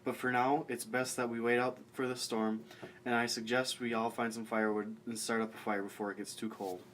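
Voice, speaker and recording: A man's voice: medium pitch, level tone, a little fast, a bit bright, lightly acted. A man playing a commanding wilderness officer who is secretly a cold killer, in an amateur radio play. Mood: urgent, commanding. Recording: noisy amateur recording with background ambience.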